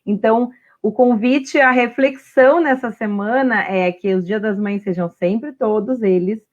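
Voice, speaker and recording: A woman's voice: on the high side, a little slow, lo-fi sound. A Brazilian woman hosting a casual livestream interview, talking conversationally with frequent tag questions. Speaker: host of an online women's talk series.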